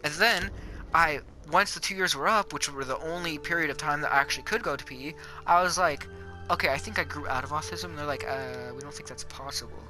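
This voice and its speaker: A young man with a slightly high-pitched voice tells stories casually as he plays a game. His delivery is halting, rambling and full of casual swearing, with music clearly playing behind him. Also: sharp tone, low-quality recording. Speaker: player chatting in a multiplayer game. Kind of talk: casual chat, storytelling while gaming.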